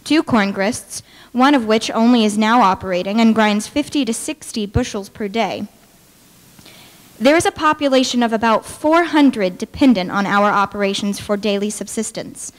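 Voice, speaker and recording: Young female reciter, fairly high, reverberant hall. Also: moderate pace. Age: young adult. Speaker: dramatic reader. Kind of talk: formal read-aloud of historical documents. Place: large brick-walled hall with stage, indoors. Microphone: podium microphone.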